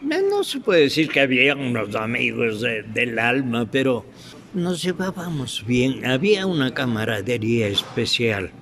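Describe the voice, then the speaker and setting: Older male interviewee, Ecuadorian accent, retired radio actor. Gravelly, halting delivery, unhurried, over clear ambient noise. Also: mid-range voice, big pitch swings, a bit bright. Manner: halting, searching for words. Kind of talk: reminiscing in an interview.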